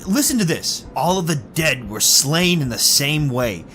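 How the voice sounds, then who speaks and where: An actor playing a chatty, affable married passenger in a retro radio drama. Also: male voice, a little high, big pitch swings, a little slow, fairly bright tone, a little hiss or hum. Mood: intrigued.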